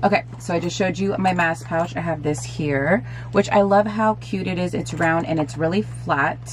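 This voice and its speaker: A woman in her thirties, slightly hoarse, chatting upbeat to viewers as she shows things to the camera in a small bedroom. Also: lowish voice, normal speed, a little hiss or hum, rough recording. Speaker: lifestyle vlogger. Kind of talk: casual product show-and-tell.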